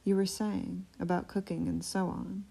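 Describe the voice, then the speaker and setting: A woman reading aloud, voicing a calm, dry-witted female dragon from a storybook with a measured way of speaking. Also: mid-range voice, gravelly.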